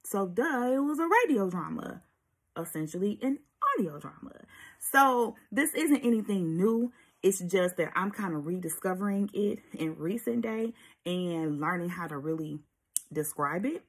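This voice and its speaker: A woman hosting a casual vlog, talking in an animated, emphatic and sassy way with a slightly husky voice. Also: medium pitch, normal speed. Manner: animated, emphatic, sassy asides with pet names. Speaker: host of an audiobook review vlog. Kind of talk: casual chatty video commentary.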